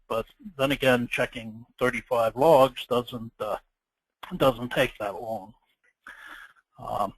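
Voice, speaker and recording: Halting man giving an informal presentation, dry sound. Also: mid-range voice, no room sound, rough recording. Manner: halting and hesitant, often trailing off. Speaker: event chairman giving a presentation.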